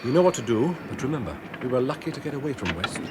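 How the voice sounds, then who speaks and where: An actor playing a cautious, businesslike criminal accomplice in a radio drama, giving terse instructions. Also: male voice, mid-range voice, big pitch swings, fast talker, close to an everyday voice. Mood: terse, warning. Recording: very noisy, with train or street ambience.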